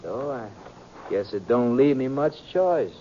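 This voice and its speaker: A muffled, noisy old radio western. A man acts a cold American outlaw with a dark, mellow voice, taunting and folksy in his menace. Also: mid-range voice, animated, a little slow, lightly acted. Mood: coolly menacing. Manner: folksy, ungrammatical drawling talk, taunting. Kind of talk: 1950s radio western acting.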